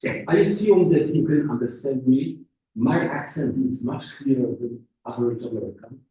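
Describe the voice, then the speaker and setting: A man giving a physics lecture as a guest speaker, halting and restarting his phrases as he goes. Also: mid-range voice, expressive, warm tone. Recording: echoey room from far off.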